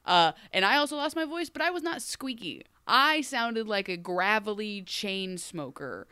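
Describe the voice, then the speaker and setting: A woman from Ohio co-hosting a podcast, chatting casually and animatedly, her voice still a little deep after a cold. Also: unhurried, clean tone, dry recording, clean studio sound. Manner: animated, acts out quoted voices, laughs often. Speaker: podcast co-host. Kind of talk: casual chat.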